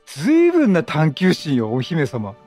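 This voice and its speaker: A man's voice: fairly high pitch, huge pitch swings, takes it slowly, a little mellow, soft background music. A man giving casual let's play commentary, chatty and reacting aloud, questioning and repeating lines. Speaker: gaming streamer.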